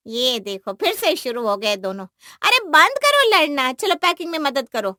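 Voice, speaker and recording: A woman's voice: on the high side, sing-song, moderate pace, fairly bright tone, no echo, studio quality. A woman voicing a caring but bossy middle-aged mother with an emphatic manner in a family drama. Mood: scolding. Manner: scolding, emphatic.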